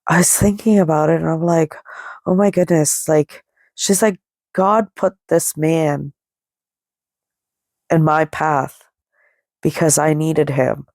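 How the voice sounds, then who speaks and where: A woman's voice: deep voice, steady tone, slow pace, long pauses, darkish tone, no echo. A middle-aged woman podcast host telling a personal story casually in a rambling, conversational way, with a nasal voice stuffed up by a head cold. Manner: rambling, conversational, quoting dialogue as she goes. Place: indoors, lying down, dry close sound.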